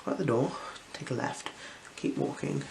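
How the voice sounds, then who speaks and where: Creaky voice, soft-spoken ASMR, whispered phrases, soothing eye exam role-play, background noise. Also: medium pitch. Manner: gentle, unhurried, soothing instructions. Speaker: ASMR performer playing an eye examiner.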